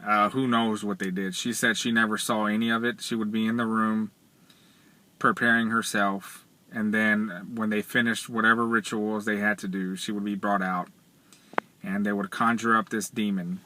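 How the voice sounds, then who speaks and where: Middle-aged man, flat and halting. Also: on the low side, poor audio. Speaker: vlogger. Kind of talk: casual spoken commentary, retelling a story. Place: inside a parked car. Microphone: camera or phone at arm's length.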